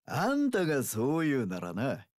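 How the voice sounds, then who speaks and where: An actor voicing a brash, rough-talking young pirate warlord in a video game, gravelly, with delinquent gang-boss speech and drawn-out battle cries. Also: male voice, medium pitch, huge pitch swings, a little mellow, no room sound, clean studio sound. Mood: low, brooding. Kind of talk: video game character voice lines.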